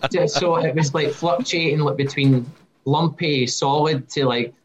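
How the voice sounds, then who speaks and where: A man's voice: a little high, steady tone, measured pace, background noise. A man with a Scottish accent chatting casually on a video call as a distillery co-founder, rambling and swearing lightly.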